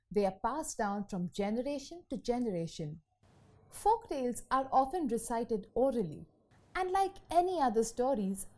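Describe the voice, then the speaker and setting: Casting: female narrator for kids' educational content, higher-pitched voice, very lively intonation, explains like a storyteller. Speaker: lesson narrator. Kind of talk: scripted educational narration for children.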